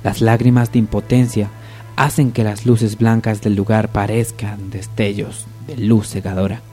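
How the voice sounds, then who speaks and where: Male radio-soap narrator: low, mellow, scripted storytelling over faint music. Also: little pitch movement, measured pace. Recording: music behind the voice.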